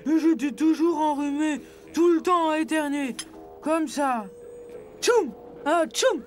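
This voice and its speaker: High voice, an actor as a grumbling touchy polar bear, dry delivery, cartoon dub, faint music behind. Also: lively intonation, slow. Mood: touchy, complaining. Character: gruff-spoken, touchy polar bear who hates cold. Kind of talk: dubbed low-budget cartoon dialogue.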